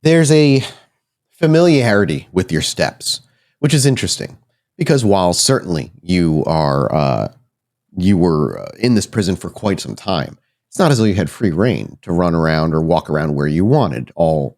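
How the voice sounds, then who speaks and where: A man working as game master, improvising suspenseful, deliberate narration for a horror tabletop roleplaying game. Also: low voice, sing-song, vocal fry, a little mellow. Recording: music behind the voice. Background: background music.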